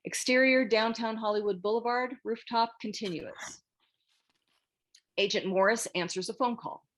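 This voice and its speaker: A woman's voice: a little high, fairly even intonation, moderate pace, a bit bright. A woman reading scripted screenplay narration, stage directions and scene headings as a narrator.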